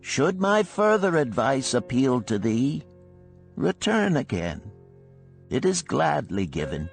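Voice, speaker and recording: Guy doing a careful old babylon gold lender, preachy old-timey talk, slow and swoopy, bit of music. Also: medium pitch, very animated, creaky, heavily acted. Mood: solemn, advising. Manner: solemn, deliberate, archaic sermonizing. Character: wise, cautious, older male gold lender of ancient Babylon. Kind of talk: storybook character reading.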